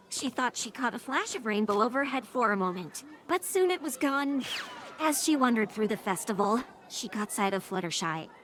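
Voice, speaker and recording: A woman's voice: a little high, fairly bright tone. A synthetic text-to-speech woman's voice narrating a scripted story. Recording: faint background noise.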